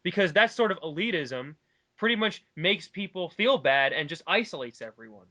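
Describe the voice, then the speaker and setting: A man's voice: on the high side, lively intonation, moderate pace, sharp tone. A man in a casual, opinionated discussion, talking at length in an emphatic, argumentative way. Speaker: online commentary co-host.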